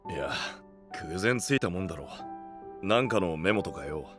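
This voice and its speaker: An actor playing a gruff, earnest young male knight who blames himself, with rough speech in an anime-style voice drama. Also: lively intonation, moderate pace, music in the background. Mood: doubtful. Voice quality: deep, heavy voice.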